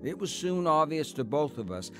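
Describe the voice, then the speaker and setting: A man's voice: fairly high pitch, big pitch swings, moderate pace, music in the background. A man playing a proud, skeptical, practical older brother in an audio drama, grumbling and sardonic. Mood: reflective.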